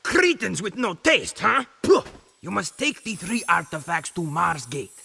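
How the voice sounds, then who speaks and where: Sassy cartoon bird sidekick, high gravelly squawk, super swoopy, grand mystic then snarky. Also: male voice, very animated, a little slow, sharp tone, heavily acted. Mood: wry, theatrical. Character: snooty, wisecracking talking bird interpreter for an old oracle. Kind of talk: animated video game cutscene acting.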